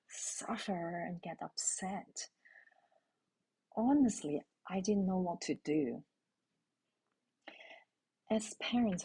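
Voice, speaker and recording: A middle-aged woman from Hong Kong, a native Cantonese speaker, telling a personal parenting story in a calm, intimate way and asking her listeners questions. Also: medium pitch, slightly rough, low-quality recording. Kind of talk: reflective spoken essay.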